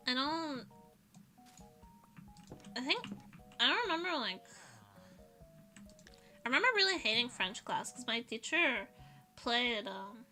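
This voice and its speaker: A woman's voice: on the high side, lively intonation, takes it slowly, long pauses, sharp tone, faint music behind. A woman streaming as a vtuber, rambling in casual chat and laughing often as she answers her chat.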